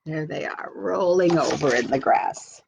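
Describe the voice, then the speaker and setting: A woman reading a picture book aloud as a children's librarian, pausing to point out the pictures. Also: deep voice, vocal fry, noisy background. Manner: unhurried, pauses to point out pictures. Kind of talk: picture book storytime narration.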